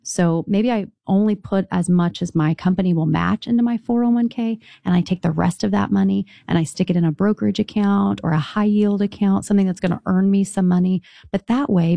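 A woman's voice: on the low side, creaky, mellow, dry recording. A middle-aged woman, a financial advisor, explaining retirement money matters in a conversational way on a call-in show. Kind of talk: radio call-in advice chat.

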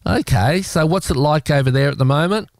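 A man's voice: on the high side, fairly quick, dry recording, studio quality. A man with an Australian accent presenting a radio show and interviewing in a casual, rambling, jokey way.

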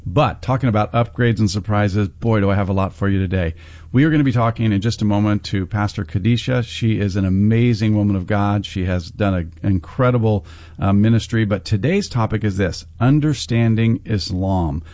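Older male radio host, low voice, dry studio, chatty and affirming. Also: a little fast, a little mellow, dry recording. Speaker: radio host, former university president. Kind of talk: radio interview.

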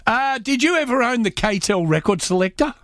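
A man's voice: extremely high pitch, animated, moderate pace, fairly bright tone, no room sound, clean studio sound. A man hosting a casual radio chat, playful and teasing, breaking into bits of song. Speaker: radio host.

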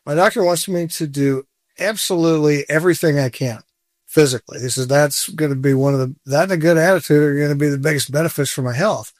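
A man in his sixties chats as an interview guest, candid and self-deprecating, laughing now and then. His voice sits a little high for a man. The recording is dry and close, with no room sound. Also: quick pace, no room sound. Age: 60s. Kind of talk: casual interview chat.

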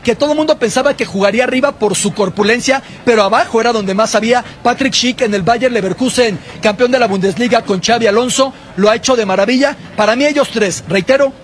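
Very high-pitched male football commentator. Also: fast, hardly any pauses. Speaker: football commentator, guest correspondent. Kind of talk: conversational sports analysis.

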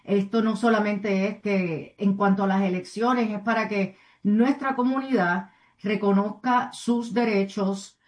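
A woman speaking as a community advocate in an interview, earnest and somewhat halting, often adding tag questions. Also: medium pitch, steady tone, darkish tone. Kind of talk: interview answers, civic advocacy.

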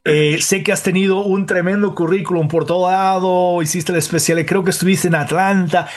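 A man with a somewhat higher voice hosts a medical talk show. He delivers health information in a measured, even way and then welcomes his guest warmly. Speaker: radio show host, doctor.

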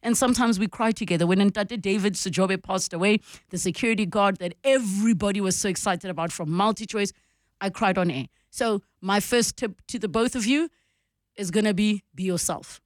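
A South African woman hosts and advises in conversational style, with a mid-pitched voice. She explains things in an encouraging way, and the sound is dry with very little room. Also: brisk, no echo. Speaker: radio host and mentor. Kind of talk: conversational advice and interviewing.

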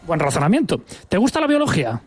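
A man's voice: sits high, huge pitch swings, quick pace, husky. A man reporting live for radio an indoor event, chatty and upbeat as he interviews people. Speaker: field reporter. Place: indoor room with children.

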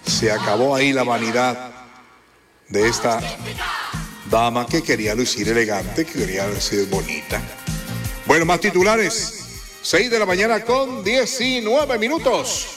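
A man's voice: medium pitch, animated, normal speed. A Colombian man presenting morning radio headlines in a colloquial, theatrical way, drawing out phrases and adding rhetorical asides. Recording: loud music bed under voice. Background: music.

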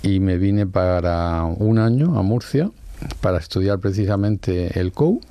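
An older man from Spain, a native speaker of Valencian, calmly explaining his views in an interview with many "eh" fillers. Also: deep voice. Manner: measured, explains with rhetorical questions and many "eh" fillers. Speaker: interviewee, law professor and judge. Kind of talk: reflective interview answers.

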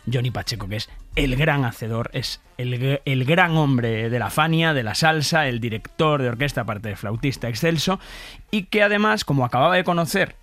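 A man's voice: medium pitch, expressive, moderate pace, fairly bright tone. A man speaking as a guest commentator, telling stories casually and effusively, piling up adjectives. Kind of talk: casual, enthusiastic storytelling in conversation. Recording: music playing behind the voice. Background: background music.

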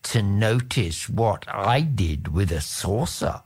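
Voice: sits low, animated, slow, darkish tone, husky, voice pushed down, heavily acted. A narrator doing a cool, witty cat princess. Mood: wry.

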